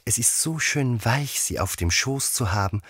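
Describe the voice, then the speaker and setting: A man's voice: mid-range voice, animated, moderate pace, fairly bright tone, breathy edge, no echo, clean studio sound, voice pushed up. A man voicing an eager, polite young girl in a children's fairy tale, earnest and gushing. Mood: eager, apologetic.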